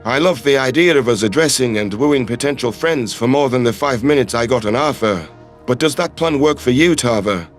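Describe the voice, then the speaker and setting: A man voicing a gentle, supportive male human ambassador in a science fiction audio drama, with an earnest, caring manner. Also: medium pitch, a little fast, soft background music, natural voice. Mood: encouraging.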